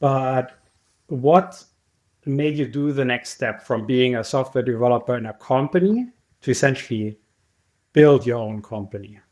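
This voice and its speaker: A man's voice: mid-range voice, a little slow, darkish tone, highs cut off. A man hosting a podcast, interviewing a guest in a conversational way. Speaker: podcast host.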